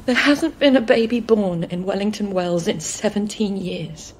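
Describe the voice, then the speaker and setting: A woman's voice: medium pitch, moderate pace, slight background noise, natural voice. An actress playing a desperate, bitter British woman official in a dramatic video game. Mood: resigned, grave.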